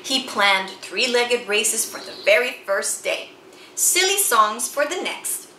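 A middle-aged woman reads a picture book aloud to children, with theatrical, deliberate, emphatic phrasing. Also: on the high side, very animated, sharp tone. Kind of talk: expressive children's read-aloud storytelling. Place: small indoor room with bookshelves and chalkboard. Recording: roomy sound with faint background noise.

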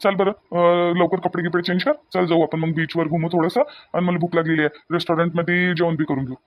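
Man acting a high-pitched, coaxing young cartoon husband. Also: little pitch movement, fast talker, no room sound, highs cut off, close to an everyday voice. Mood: easygoing. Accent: Vidarbha Marathi (Varhadi). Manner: insistent, repetitive coaxing. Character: young newlywed husband, reassuring, doting, a little impatient. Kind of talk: cartoon dialogue acting in colloquial dialect.

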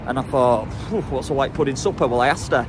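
A cheeky, chatty young man films himself at arm's length as he walks through the town. His voice sits at an ordinary middle pitch. Clear street and traffic noise runs underneath him. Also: quick pace. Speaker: travel vlogger. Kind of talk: casual vlog to camera. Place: outdoors on city streets. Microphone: handheld camera at arm's length.

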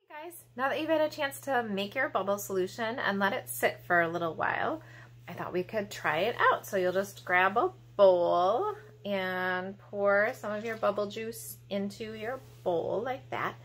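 A woman's voice: mid-range voice, expressive, normal speed, a bit bright, a little hiss or hum. A woman in her thirties presenting a children's storytime in a playful, exaggerated, encouraging manner, recorded in a small room at home. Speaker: library storytime host.

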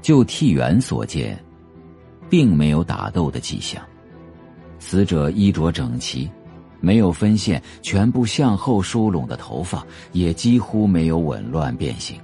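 A man narrating a novel for an audio drama in a measured, atmospheric storytelling manner. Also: deep voice, very animated, vocal fry, warm tone, soft background music.

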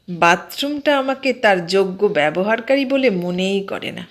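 A woman voicing a mild, stubbornly sceptical man in an audio story, explaining things in a measured, matter-of-fact way. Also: mid-range voice, natural voice.

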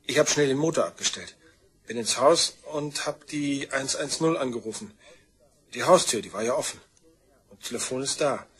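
Male witness, radio crime play: rough breathy voice, offhand, slightly provocative. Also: mid-range voice, normal speed, a bit bright. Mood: casual, halting. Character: offhand, needling younger-brother type, male crime witness. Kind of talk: German radio crime drama acting.

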